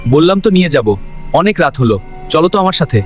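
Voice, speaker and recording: Man acting a stubborn, higher-voiced villager. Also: expressive, fast, faint music behind, close to an everyday voice. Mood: reassuring. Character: stubborn, reassuring village man, brushing off ghost fears.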